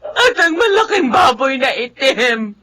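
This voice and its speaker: A woman's voice: high-pitched, sing-song, unhurried, bright, forceful tone, exaggerated voice. An actress playing an anguished village widow, crying through her words in a melodramatic radio drama.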